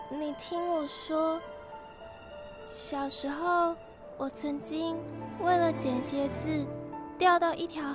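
Woman as little girl heroine, very high voice, earnest, determined, audio drama, music behind.

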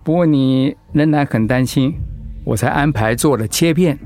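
An actor playing a calm, measured male doctor in a radio drama, explaining things professionally. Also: medium pitch, faint music behind, natural voice. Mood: calm, explanatory.

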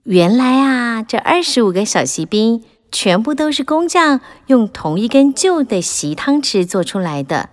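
A woman narrating a children's audiobook in a gentle, expressive storytelling manner. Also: on the high side, measured pace, hardly any pauses.

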